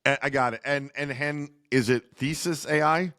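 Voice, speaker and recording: A man's voice: on the high side, normal speed. A man hosting an interview podcast, introducing guests and joking at himself about how he says their names. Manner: lively, self-deprecating about name pronunciations. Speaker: podcast host.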